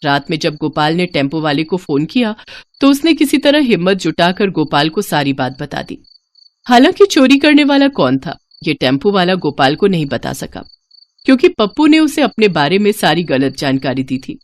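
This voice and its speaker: A woman's voice: lowish voice, very animated, moderate pace. A woman narrating a scripted moral story as a storyteller.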